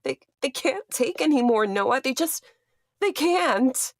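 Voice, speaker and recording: A woman's voice: high-pitched, animated, takes it slowly, dry recording, studio quality, heavily acted. A woman playing an anxious, haunted but determined character who narrates her own horror story in a tense, confiding way. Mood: pleading, determined. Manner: tense confiding first-person narration, emotional. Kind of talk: horror audio drama narration and acting.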